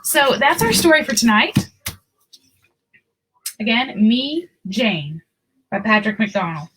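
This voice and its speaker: A woman's voice: on the high side, sing-song, slow pace, a bit bright, a little hiss or hum, poor audio. A woman hosting a picture book read-aloud, telling the story expressively with casual asides.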